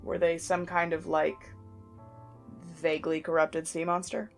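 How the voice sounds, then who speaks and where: A woman's voice: sits low, level tone, a little slow, vocal fry, faint music behind. A woman playing a guarded, blunt female werewolf pack leader in an audio drama, with a dry, informal way of talking. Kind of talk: scripted audio drama acting.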